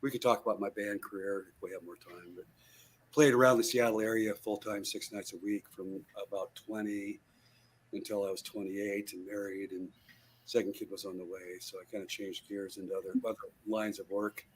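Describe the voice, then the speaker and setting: A man's voice: lowish voice, flat delivery, a little fast, slight background noise, low-quality recording. A man in his sixties, a guitarist guest in an interview, telling his story in a rambling way with many fillers and restarts over a video call. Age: 60s.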